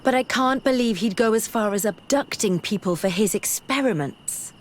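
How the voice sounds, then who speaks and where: An actress playing an earnest, bookish young female scholar in a video game, speaking in a measured, articulate way. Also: a little high, a little hiss or hum, close to an everyday voice. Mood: troubled, ashamed. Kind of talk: video game dialogue acting. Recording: music behind the voice.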